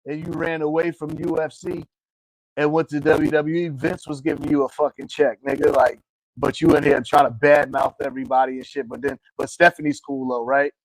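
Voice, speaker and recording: A man's voice: fairly high pitch, little pitch movement, brisk, no room sound, poor audio. A man co-hosting a casual wrestling podcast, talking bluntly with heavy slang and constant cursing. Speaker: podcast co-host. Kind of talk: casual profane chat about pro wrestling.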